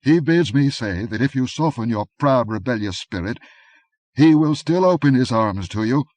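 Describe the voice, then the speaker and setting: A man voicing an arrogant young nobleman who gives commands and turns uneasy, speaking haughtily and loudly. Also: medium pitch, expressive, moderate pace, dry recording, voice pushed up, close to an everyday voice. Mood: haughty. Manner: haughty, commanding, rising to alarm.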